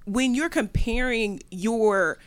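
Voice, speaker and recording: A woman's voice: a little high, slow pace, clean tone. A woman in her twenties speaking candidly and reflectively in a casual group chat. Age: 20s.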